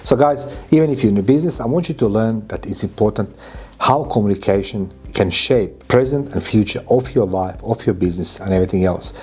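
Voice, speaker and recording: Croatian guy vlogging to camera, lowish mellow voice, emphatic storyteller, accented non-native english. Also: fairly quick, breathy edge. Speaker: vlogger and podcast host. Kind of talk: informal travel vlog monologue to camera. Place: furnished indoor room, hotel-like.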